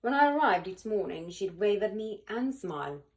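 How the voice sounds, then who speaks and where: A woman reading a children's picture book aloud in an animated, expressive way, with dramatic pauses and emphasis. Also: mid-range voice, rough recording. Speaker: volunteer children's book reader.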